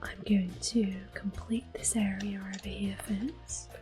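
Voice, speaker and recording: A woman giving gentle, soft-spoken ASMR gameplay commentary in a breathy, unhurried whisper. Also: mid-range voice, little pitch movement, faint music behind. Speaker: gameplay host.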